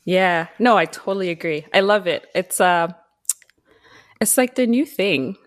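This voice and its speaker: A woman's voice: fairly low pitch, measured pace. Tired woman on a podcast. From Zimbabwe. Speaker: podcast guest, mining engineer. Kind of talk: casual interview chat.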